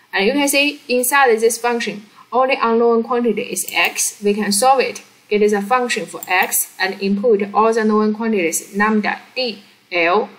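A woman who is a non-native English speaker explains a worked problem step by step as a teacher, halting and often checking that the learner follows. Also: fairly high pitch, low-quality recording. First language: not English. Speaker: physics teacher.

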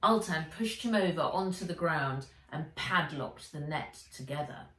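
A middle-aged woman reading an adventure story for children aloud, with expressive, dramatic, emphatic delivery. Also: low-pitched, measured pace, distant mic. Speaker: author reading her own book aloud. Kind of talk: dramatic audiobook-style narration for children. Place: indoors, bright room with armchair. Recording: roomy, echoey, away from mic.

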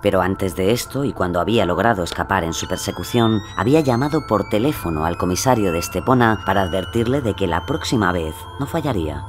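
A man's voice: low-pitched, animated, fairly quick, few pauses. A man narrating a true-crime story from a script. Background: music.

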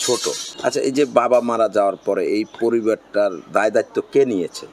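A man's voice: on the low side, quick pace. A man with a Bangladeshi accent conducting an informal interview, asking questions and repeating the answers back. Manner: echoes the guest's answers. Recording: clearly noisy background.

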